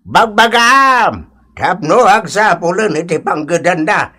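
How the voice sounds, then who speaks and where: A scolding, sarcastic grumbler in Ilocano radio comedy. His voice sits high for a man, and he nags and taunts bluntly. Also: very animated, slow pace, pushed and bright, full character voice.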